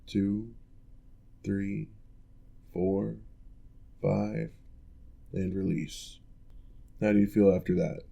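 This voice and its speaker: A man voicing a former orc general who now works as a patient physical therapist, giving calm, measured instructions in an ASMR roleplay. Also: deep voice, creaky, exaggerated voice. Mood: instructive.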